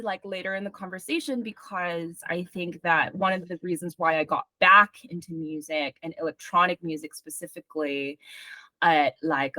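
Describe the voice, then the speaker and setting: A woman in her thirties from Singapore, a music journalist talking as a guest in a casual interview, animated and chatty with lots of "like" fillers. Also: low-pitched, no echo.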